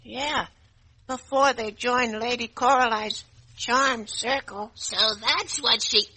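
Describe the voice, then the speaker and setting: A woman's voice: on the high side, fairly even intonation, slow, sharp tone, husky, heavily acted, low-quality recording. A woman playing a cocky, slangy wooden toy soldier in an old-time radio drama. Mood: wary. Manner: brash, slangy, wisecracking.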